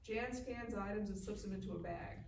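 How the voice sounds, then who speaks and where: A woman's voice: medium pitch, brisk, creaky, recorded from a distance, background noise. Woman reading stage directions, echoey live room. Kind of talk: scripted narration at a live table read. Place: live festival event room.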